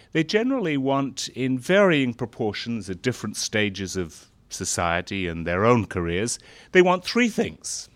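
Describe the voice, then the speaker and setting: A middle-aged man and former foreign correspondent speaking to a gathering, halting with fillers at first, then growing more animated. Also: medium pitch, dry recording. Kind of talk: speech to an audience.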